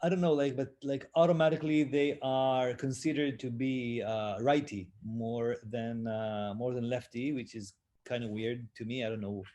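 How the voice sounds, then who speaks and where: A man's voice: medium pitch, fairly even intonation, normal speed, warm tone. A man from Israel, speaking as a panelist in a roundtable discussion over a video call, hesitant with many fillers. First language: Hebrew. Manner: hesitant, many fillers, self-deprecating laughs.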